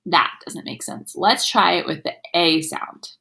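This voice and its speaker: A woman's voice: fairly low pitch, unhurried, creaky, pushed and bright. A woman teacher reading aloud to young children, explaining as she goes in an instructive way and sounding out words. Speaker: elementary school teacher.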